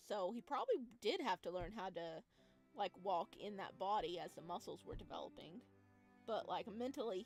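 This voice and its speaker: A woman hosting a playthrough of a visual novel, commenting in an informal, expressive, reactive way. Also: mid-range voice. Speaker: gaming video host. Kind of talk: casual let's play commentary. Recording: music behind the voice. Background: game music.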